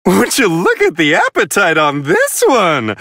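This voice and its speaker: Serene angel attendant, high airy male voice, courtly, wildly melodic, leisurely pace, theatrical game commentary. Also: huge pitch swings, a little slow, sharp tone, no room sound, studio quality, full character voice. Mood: impressed, courtly. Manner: polite, flowery, genteel phrasing. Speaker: fight commentator.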